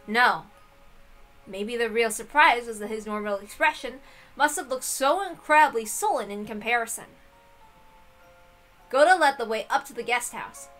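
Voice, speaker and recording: A woman narrates a story with a high, very animated voice. Her delivery is expressive and bright, and faint music plays behind her. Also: normal speed, long pauses, rough recording. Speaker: reader.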